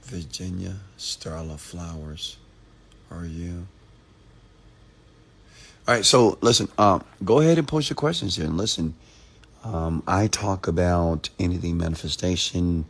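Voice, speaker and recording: A man from Texas, hoarse after a seminar, hosting a late-night livestream, greeting viewers by name and giving motivational talk. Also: low voice, measured pace, pauses often. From Texas, USA. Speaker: entrepreneur hosting a live stream.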